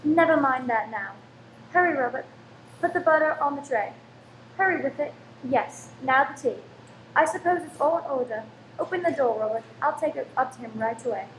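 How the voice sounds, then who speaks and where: A woman playing a high-voiced anxious landlady. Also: expressive, normal speed. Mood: fussing, hurried. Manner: fussing, polite, hesitant. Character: fussy, anxious, deferential landlady and wife. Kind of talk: radio play acting. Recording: echoey room, faint noise.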